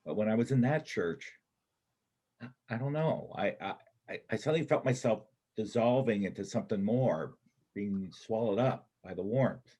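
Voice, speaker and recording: A man in a readers' theater play acting a wounded, rattled bank robber on the run, with a halting, stammering, rambling delivery. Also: lowish voice, animated, dark tone, husky. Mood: weary, rambling.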